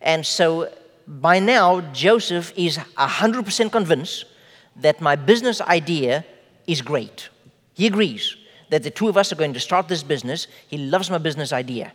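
A man whose first language is Afrikaans, preaching a sermon in measured, emphatic phrases with rhetorical questions. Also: fairly high pitch, expressive, fairly bright tone, long reverb tail. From South Africa. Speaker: pastor.

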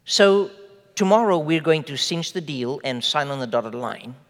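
A man whose first language is Afrikaans, preaching a sermon in measured, emphatic phrases with rhetorical questions. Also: fairly high pitch, expressive, long reverb tail. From South Africa. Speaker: pastor.

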